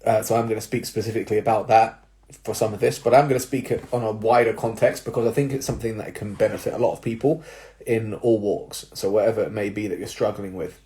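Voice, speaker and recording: A young man, a personal trainer, giving casual advice to viewers with a slightly nasal, stuffy-nosed voice, explaining things step by step with filler words and quick "right?" checks. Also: lowish voice, steady tone, mellow. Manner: explanatory, with tag questions and fillers. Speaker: personal trainer and jiu-jitsu coach.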